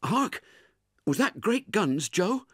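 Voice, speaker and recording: A man's voice: sits high, sing-song, takes it slowly, fairly bright tone, breathy edge, no room sound, clean studio sound, pitched above the performer's own voice, heavily acted. A man voicing a timid small boy who stammers nervously.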